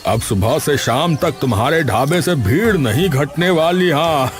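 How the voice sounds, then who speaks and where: High-pitched, folksy village chatterbox man acting. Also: expressive, a little slow, soft background music. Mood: hearty. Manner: folksy, repeatedly says bhaiya. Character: chatty, enthusiastic village man, food-stall customer. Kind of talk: animated story character acting.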